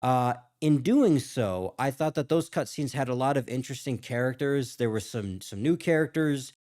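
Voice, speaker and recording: A man's voice: medium pitch, animated, normal speed, a little mellow. A man hosting a gaming reaction video, talking casually and thinking aloud in a musing, hedging way.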